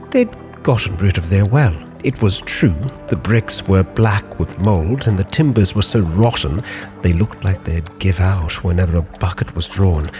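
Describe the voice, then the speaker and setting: Over clear background music, a man with a low voice narrates as a sea captain. His delivery is measured and theatrical, like a literary log being read aloud as dramatic storytelling. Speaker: roleplay storyteller, channel host.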